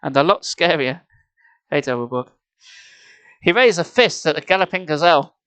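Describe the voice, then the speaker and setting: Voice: mid-range voice, expressive, normal speed, fairly bright tone, smooth. A storyteller reading a children's book expressively, slipping in casual, wry and mocking asides. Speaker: storyteller, live streamer.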